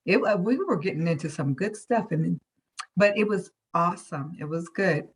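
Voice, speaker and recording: A woman's voice: fairly low pitch, lively intonation, moderate pace. Female, informal spoken report-back to a group, conversational. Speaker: workshop participant.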